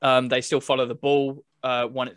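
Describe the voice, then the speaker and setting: A man's voice: medium pitch, flat delivery, normal speed, a bit bright, clean tone, no echo. Casual man with a dry, close sound. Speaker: podcast host reviewing a football video game. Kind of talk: casual review chat.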